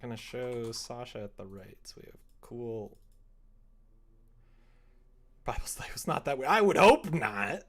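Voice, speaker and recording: A young adult man with an American accent talks casually to his livestream viewers. His delivery is rambling and reactive, with a very lively rise and fall over a middling pitch. He sounds like someone thinking out loud at his desk. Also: measured pace, pauses often. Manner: rambling, expressive, reacting to chat comments. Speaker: live streamer. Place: indoor room at a desk.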